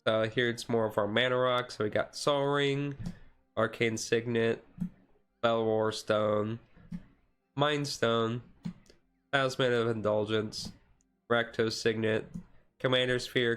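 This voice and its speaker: A man streaming casual, chill card game commentary in a halting, rambling way with frequent asides. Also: mid-range voice, steady tone, a bit bright, clear, resonant tone. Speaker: streamer host.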